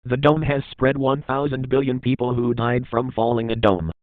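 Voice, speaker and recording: A man's voice: mid-range voice, level tone, moderate pace, no room sound. A man reading a formal scripted emergency announcement, with a tinny, narrow-band sound.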